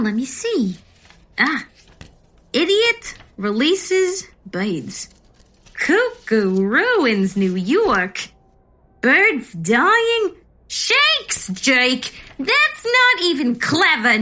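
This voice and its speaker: A woman's voice: high-pitched, big pitch swings, very slow, bright, forceful tone, full character voice. A woman playing a blunt, sardonic police officer in a comic audio drama. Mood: scornful.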